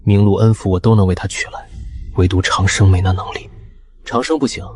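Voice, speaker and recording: A man's voice: sits low, brisk, a little mellow, natural voice. A man playing a composed, brooding ancient mystic reborn as an actor, in earnest, theatrical scripted drama. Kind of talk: scripted dramatic monologue and dialogue.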